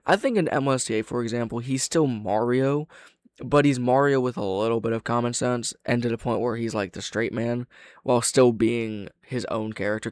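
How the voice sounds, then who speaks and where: A man's voice: medium pitch, no room sound. An opinionated man hosting a casual reaction video, swearing freely and speaking close to the mic.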